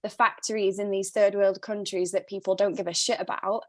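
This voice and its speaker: A woman's voice: mid-range voice, little pitch movement, fairly quick, darkish tone, no echo, studio quality. A woman guest on a podcast with a slightly Glaswegian-tinged accent, talking with passion and blunt swearing. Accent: non-native Glaswegian mix. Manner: passionate, blunt, swears freely, laughs often. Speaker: guest, sustainable stylist. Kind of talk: casual podcast interview. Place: not originally from Glasgow, now lives there.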